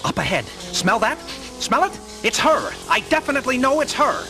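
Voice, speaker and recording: A man's voice: very high voice, huge pitch swings, moderate pace, over music, full character voice. An actor playing a dim, jumpy, feral henchman who is not quite human, in a radio play. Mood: excited. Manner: goofy, jumpy.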